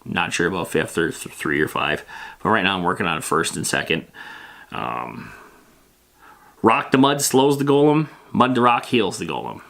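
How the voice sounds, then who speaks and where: A man's voice: deep voice, sing-song, normal speed, vocal fry, a bit bright. A man hosting a casual, loosely improvised explainer on fantasy gaming, talking in a rambling, plain-spoken, matter-of-fact way. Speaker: host of a tabletop roleplaying lore show.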